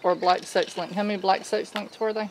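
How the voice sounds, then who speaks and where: A middle-aged woman, a homestead vlogger, chatting casually and briskly as she counts her poultry in a coop. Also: mid-range voice, fairly even intonation. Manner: brisk, matter-of-fact counting. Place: metal-walled chicken coop. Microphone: camera on a tripod. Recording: distant camera mic, noisy coop. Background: clucking chickens and roosters.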